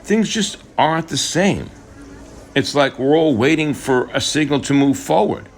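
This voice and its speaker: A man's voice: fairly high pitch, animated, unhurried, slight background noise. A man voicing a friendly, upbeat but guarded woman in her early thirties in a radio drama.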